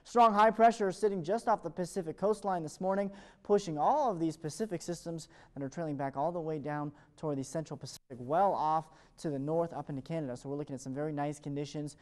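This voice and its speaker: Fast-talking American TV weatherman. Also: on the high side, a little mellow. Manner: upbeat, fluent presenter delivery. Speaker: television meteorologist. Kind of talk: morning TV weather forecast.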